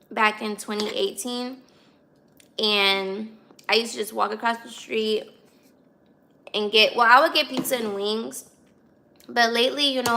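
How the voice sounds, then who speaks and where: A woman in her twenties with an American accent and a raspy, slightly hoarse voice, chatting casually to livestream viewers. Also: fairly high pitch, pushed and bright, a little hiss or hum. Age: 20s. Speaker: livestream host.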